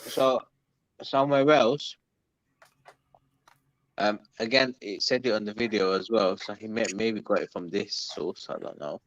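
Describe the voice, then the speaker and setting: A man's voice: on the low side, a little slow, long pauses, dry recording, muffled recording. A man from London with a London accent, hosting a casual livestream chat, talking in a chatty, hesitant way with many ums. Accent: London English. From London, England. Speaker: livestream host reading chat.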